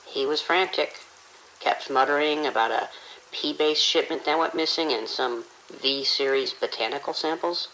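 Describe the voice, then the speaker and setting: Nervy, insistent male morgue attendant for horror audio drama, played by a man. Fry in the voice, faint background noise. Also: mid-range voice, bright, forceful tone, poor audio. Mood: harried, unsettled. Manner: uneasy, insistent. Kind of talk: radio drama acting.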